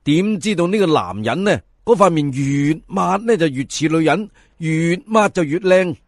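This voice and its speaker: A man's voice: fairly high pitch, expressive, moderate pace, dry recording, studio quality. A man narrating a folk tale in colloquial Cantonese as a storyteller, theatrical, addressing listeners and stretching words for emphasis.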